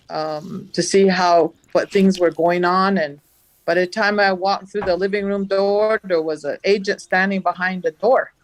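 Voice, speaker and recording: Loud-voiced woman telling a story. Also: medium pitch, no echo, poor audio. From Navajo Nation, US. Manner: animated, acts out the dialogue she recounts. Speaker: farmer, guest. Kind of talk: recounting events in an interview. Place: studio.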